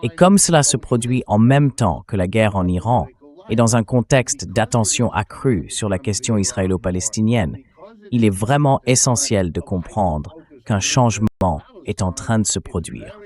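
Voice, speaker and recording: Synthetic male commentator, lowish pitch, measured explanatory delivery for a dubbed interview. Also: expressive, a little mellow. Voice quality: synthetic text-to-speech voice. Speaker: political commentator, interview guest. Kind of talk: translated interview commentary, read by AI voice.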